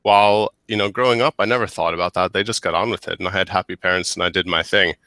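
A man's voice: low voice, steady tone, quick pace, pushed and bright, no room sound, clean studio sound. A man in his thirties from the United States, a guest on a video call headset, talking casually with many restarts and repeated words. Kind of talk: casual interview chat. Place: small office with whiteboard. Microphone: headset mic on a video call.

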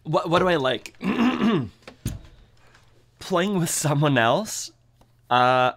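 A man's voice: on the high side, big pitch swings, drawn-out words, creaky, a bit bright. A man in his thirties teaching accordion on a livestream from a home music room, thinking aloud and scatting melodies. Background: accordion playing.

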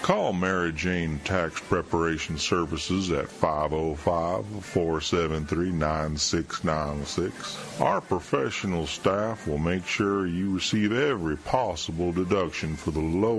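A man's voice: sits low, measured pace, hardly any pauses. A man reading a scripted radio commercial in an announcer's delivery. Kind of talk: scripted mock radio ad read.

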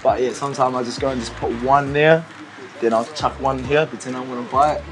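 A man's voice: medium pitch, steady tone, fairly quick, background noise. A man in his thirties with a New Zealand accent, chatting casually and jokily in slang as an interviewed barber. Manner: playful, slangy, with frequent "man" and "bro". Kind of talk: casual jokey chat. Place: indoors in a small convenience store. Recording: music playing behind the voice. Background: music.

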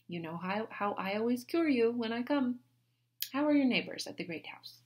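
A woman reading a novel aloud, voicing a patient, sensible young woman who answers gently and reasonably. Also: on the high side, lively intonation, normal speed, pitched above the performer's own voice, natural voice. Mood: soothing.

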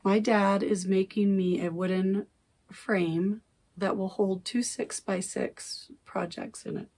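A woman's voice: medium pitch, fairly even intonation, takes it slowly, darkish tone, breathy edge. A middle-aged woman vlogging casually from her craft room, rambling and correcting herself, her voice still recovering after she lost it. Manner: rambling, self-correcting, chatty. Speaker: cross-stitch vlogger. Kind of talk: casual chat. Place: home craft room, indoors. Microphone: camera at desk distance.

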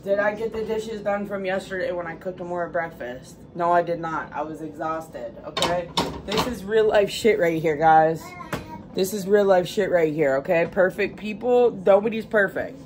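A woman's voice: on the low side, normal speed. A woman in her thirties vlogging casually to camera in her small apartment, frank and chatty, full of "like" and "guys". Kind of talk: casual vlog chat to camera. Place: small apartment bedroom and kitchen. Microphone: camera set a few steps away. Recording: echoey room from far off, background noise.

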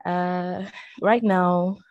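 A woman in her thirties from Benin, hoarse from a cough, introducing herself as project manager on a video call. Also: lowish voice, steady tone, drawn-out words, a little mellow, no echo, muffled recording. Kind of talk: casual self-introduction. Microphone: headset on video call.

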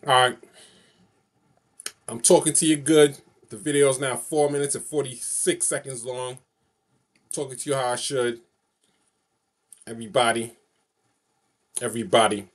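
A man's voice: medium pitch, steady tone, moderate pace, pauses often. A man talks straight to a webcam in a small bedroom. He rambles casually and loops back over the same phrases again and again. The sound is plain and close, picked up by the camera's own mic. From New York City. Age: 40s. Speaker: vlogger. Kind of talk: casual solo vlog to camera.

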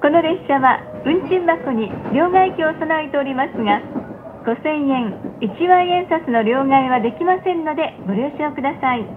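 High voiced lady doing polite train announcements, very careful words, loud rail rumble behind. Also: animated, normal speed. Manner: formal, polite, carefully enunciated. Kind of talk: scripted recorded onboard announcement. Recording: tinny muffled playback, loud train noise. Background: rumbling train carriage.

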